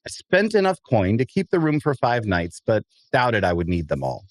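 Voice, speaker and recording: A wry adventurer narrates his own story in a fantasy audio drama, read by a man. His voice sits low but moves with lively inflection. He is observant and storytelling in manner. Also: normal speed. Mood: wry, reflective.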